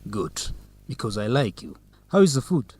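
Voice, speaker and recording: A man's voice: mid-range voice, sing-song, moderate pace, a little mellow, breathy edge, no echo. Charming young man, dry sound. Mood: courting. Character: Zegai, charming young Ethiopian man courting on a date. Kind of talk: radio drama acting.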